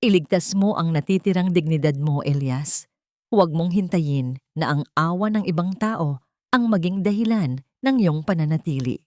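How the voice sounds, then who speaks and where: A woman voicing a calm, authoritative old village woman who speaks gently but firmly. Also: sits low, no echo, studio quality.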